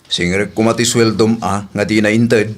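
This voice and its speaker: A man's voice: fairly low pitch, steady tone, moderate pace, natural voice. A man playing a protective, indignant older brother in a radio comedy drama, plain-spoken and questioning. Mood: indignant, probing.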